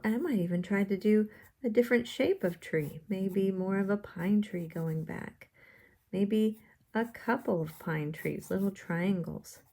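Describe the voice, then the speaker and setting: A woman's voice: mid-range voice, vocal fry, mellow. A woman art teacher giving step-by-step drawing instructions in simple, encouraging language, often putting questions to the viewer.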